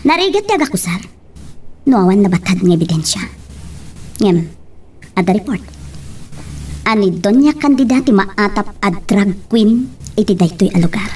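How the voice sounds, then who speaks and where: A woman's voice: mid-range voice, sing-song, moderate pace, long pauses, a little mellow, natural voice. A woman acting an earnest, pressing woman mayor in an Ilocano radio love drama. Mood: pleading, grave. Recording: somewhat distant mic, faint noise.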